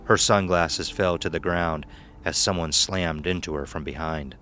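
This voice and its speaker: A man's voice: low-pitched, steady tone, a little slow, pushed and bright, slight background noise. A man reading a scripted horror story as narrator.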